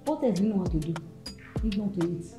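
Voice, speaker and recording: Actress as sharp-tongued Nigerian young woman: dismissive, medium pitch, echoey, music behind. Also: lively intonation, quick pace, lightly acted. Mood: scornful. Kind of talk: comic film acting. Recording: roomy, distant echo with music over it.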